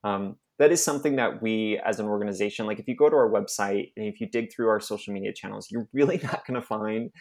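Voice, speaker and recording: A young adult man from Arizona, a nonprofit staff member, telling his personal story in an interview, halting and emotional with many restarts. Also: lowish voice.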